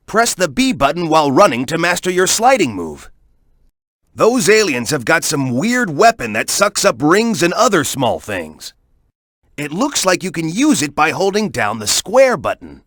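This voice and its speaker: A man's voice: a little high, a bit bright, dry recording, studio quality. A man voicing an upbeat, cocky young male sidekick in a video game, giving hints and encouragement in a casual, peppy, instructive way.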